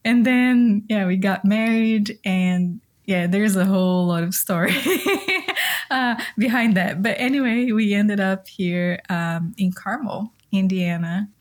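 A woman's voice: mid-range voice, slow pace. A woman from Brazil with a Brazilian accent, a native Portuguese speaker, telling her own story casually as a podcast guest in a giggly manner. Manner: giggly, often laughs softly mid-sentence. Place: video call recording.

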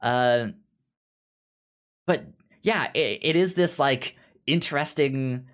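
A man chatting casually as a podcast co-host, retelling a story in a halting way and often repeating the starts of words. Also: mid-range voice, fairly bright tone. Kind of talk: casual chat, retelling a TV show episode.

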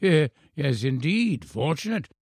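A man voicing a frail, eloquent English professor in his eighties, formal, halting and playfully teasing, in a storybook reading. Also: fairly high pitch, big pitch swings, gravelly, husky, no room sound, clean studio sound, pitched above the performer's own voice, full character voice. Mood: gently reproving. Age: 80s. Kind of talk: storybook character voice.

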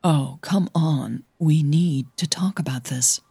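An earnest, cautioning female coach, as a woman narrator plays her in an audio drama. She is hesitant but persistent, measured and persuasive, with a low, husky voice. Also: dark tone, no room sound, studio quality, heavily acted. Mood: insistent.

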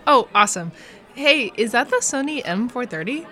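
A woman's voice: on the high side, lively intonation, normal speed, bright, forceful tone. A woman playing a friendly, easygoing teenage girl with a casual manner in a fiction podcast. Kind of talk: audio fiction podcast acting.

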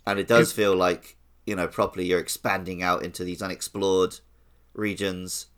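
A man's voice: deep voice, little pitch movement, normal speed, a bit bright, clear, resonant tone. A man speaking casually and informally as a podcast co-host in a gaming discussion.

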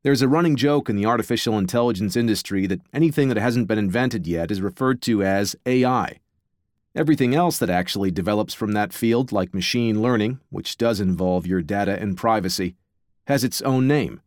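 A man's voice: lowish voice, normal speed, a little mellow, no echo, studio quality. A man narrating a nonfiction audiobook from a script.

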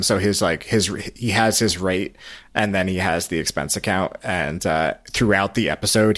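A man with a low-pitched voice hosts a recap podcast. He talks casually and a bit haltingly. His explanations carry light amusement. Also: a bit bright. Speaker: podcast host.

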